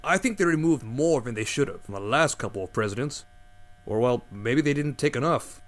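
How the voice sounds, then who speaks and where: A man's voice: medium pitch, lively intonation, fairly quick, close to an everyday voice. An actor playing a flustered male radio host who rambles about conspiracy theories, with a faint mains hum behind.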